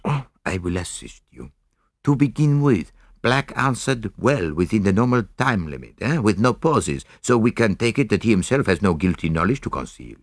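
Man acting a shrewd detective, French accent, courteous, deliberate, animated pitch, audiobook character, creaky edge. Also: no echo, studio quality. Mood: explaining. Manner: courteous, deliberate, with questioning interjections. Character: shrewd, courteous foreign male detective, gently probing.

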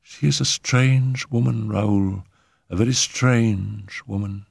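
A man's voice: on the low side, steady tone, takes it slowly, creaky, slightly rough, dry recording, studio quality, full character voice. A man voicing an exhausted, anxious woman who pleads with agitated, emphatic repetition in a dramatic story reading. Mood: uneasy, dreading. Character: anxious, exhausted, high-strung Frenchwoman, a spirit medium.

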